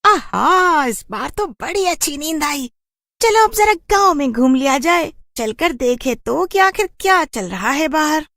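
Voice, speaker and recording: Shrill, scolding village witch. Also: lively intonation, a little slow, fairly bright tone, no echo, clean studio sound, heavily acted. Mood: pleased. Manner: scolding, indignant exclamations. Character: cranky, territorial village witch, easily outraged.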